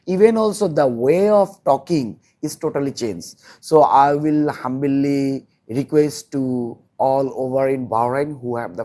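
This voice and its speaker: Slow-talking Bangladeshi man, earnest and halting. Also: mid-range voice, warm tone. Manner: halting, repeats phrases, earnest. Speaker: interview guest, volunteer social worker. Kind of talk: earnest interview talk in non-native English.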